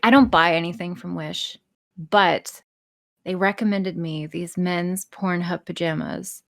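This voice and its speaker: A woman's voice: on the low side, fairly even intonation, unhurried, gravelly. A woman chatting casually as a podcast guest, candid, enthusiastic and free with swearing. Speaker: podcast guest, audio script writer and performer.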